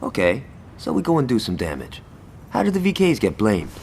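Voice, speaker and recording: A man's voice: medium pitch, huge pitch swings, fairly quick, vocal fry, a little mellow, slight background noise. An actor playing a brash, hot-headed male gangster who is cocky, sarcastic and foul-mouthed. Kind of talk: video game cutscene acting.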